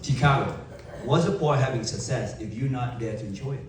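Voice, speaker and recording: A young man with a Vietnamese accent giving an emphatic motivational keynote to a live audience, with dramatic pauses and rhetorical questions. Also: medium pitch, music in the background. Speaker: motivational speaker. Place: large event hall. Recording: echoey hall from far off.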